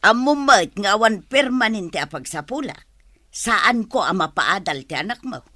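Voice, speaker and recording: Woman acting a forceful, grumbling village grandmother. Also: mid-range voice, animated, moderate pace, dry recording. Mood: stern, grudging. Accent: Ilocano. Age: older. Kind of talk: Filipino radio drama acting.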